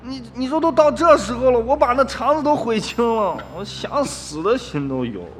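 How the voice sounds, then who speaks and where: A stammering, high-pitched young villager. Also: male voice, big pitch swings, normal speed, in a large hall, soft background music. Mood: pleading. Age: young adult. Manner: stammering, whiny. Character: flustered, pleading young village man, cowed and whining. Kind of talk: radio drama acting.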